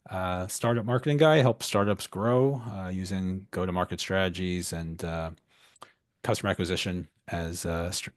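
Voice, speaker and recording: A man who works as a startup marketer introduces himself haltingly, with many fillers. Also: low voice, no room sound, clean studio sound. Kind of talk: self-introduction. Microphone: video call.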